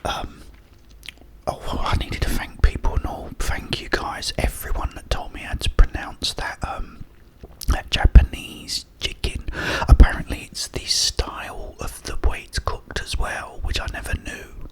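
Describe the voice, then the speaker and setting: Whispering Cockney man, slow and soft. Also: low-quality recording. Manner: soft unvoiced whisper throughout, rambling and chatty. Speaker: ASMR channel host. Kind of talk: whispered ASMR chat.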